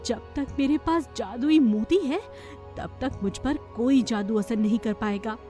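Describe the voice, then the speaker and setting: A woman's voice: fairly high pitch, measured pace, warm tone, faint music behind, close to an everyday voice. A woman voicing a brave, commanding fairy queen and protective mother in a children's cartoon. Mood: resolute. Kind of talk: children's cartoon story acting.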